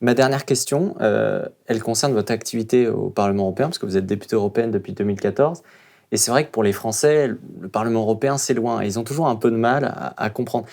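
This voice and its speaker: Gravelly interviewer asking a question. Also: medium pitch, quick pace, darkish tone.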